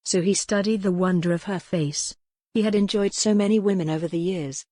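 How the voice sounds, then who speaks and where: A woman's voice: fairly low pitch, fairly even intonation, fairly quick, mellow, no room sound, clean studio sound. A woman reading scripted historical romance fiction as an audiobook narrator.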